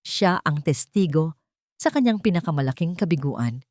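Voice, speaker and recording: Low-pitched woman narrating drama. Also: lively intonation, normal speed, darkish tone, no echo, studio quality. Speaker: audiobook narrator.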